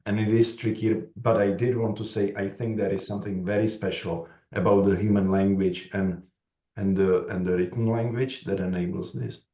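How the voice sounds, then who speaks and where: A man with a Czech accent, speaking as a panelist at a conference, thoughtful and halting with many restarts. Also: on the low side, flat delivery, warm tone. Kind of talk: panel discussion remarks. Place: conference room. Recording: echoey room, dull lo-fi sound.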